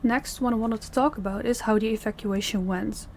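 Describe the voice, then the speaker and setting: A woman's voice: fairly high pitch, fairly even intonation, fairly quick, darkish tone, a little hiss or hum, close to an everyday voice. A woman playing a stern crime boss in an audio roleplay, speaking in a commanding, businesslike way. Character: stern female crime boss running her department heads. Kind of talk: scripted audio roleplay acting.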